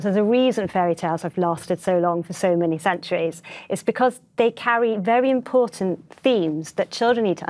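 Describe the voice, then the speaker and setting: A woman speaking as an interview guest in a studio, explaining things earnestly and conversationally. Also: mid-range voice. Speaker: children's author, interview guest.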